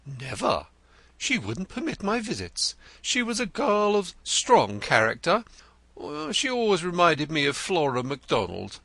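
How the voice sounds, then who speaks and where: Man doing a vain theatrical poet, high and swooping, florid, stammering, bright tone, dry close sound. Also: huge pitch swings, unhurried, no echo, exaggerated voice. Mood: effusive. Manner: florid, gushing, stammers when pressed. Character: vain, theatrical male poet, nervous and effusive. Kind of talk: audiobook character reading.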